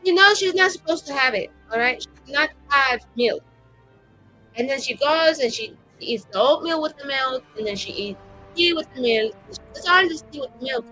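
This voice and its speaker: Woman playing a bright, high-voiced chatty adventurer. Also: lively intonation, normal speed, faint music behind, rough recording. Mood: gossipy. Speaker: tabletop roleplay player. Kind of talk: improvised in-character banter.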